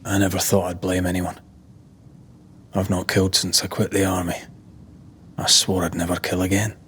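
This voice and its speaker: Tired ex-soldier ghost hunter guy from a video game, lowish pitch, serious, few words. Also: flat delivery, moderate pace, fairly bright tone, slight background noise. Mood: somber. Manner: grave, terse, restrained. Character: weary, resolute ex-soldier ghost-hunter, devoted to his lover. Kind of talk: video game cutscene acting.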